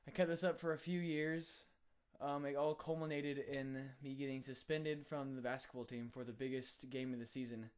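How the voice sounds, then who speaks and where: A young man, a college student, sharing a personal faith testimony in an earnest, reflective way with frequent filler pauses. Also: medium pitch, steady tone, clear, resonant tone. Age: young adult.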